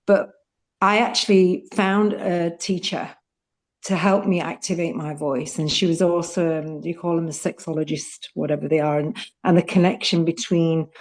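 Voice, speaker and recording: Heartfelt middle-aged woman, low voice. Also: flat delivery, normal speed. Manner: heartfelt, rambling, laughs easily. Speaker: student and participant. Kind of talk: casual testimonial. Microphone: video call.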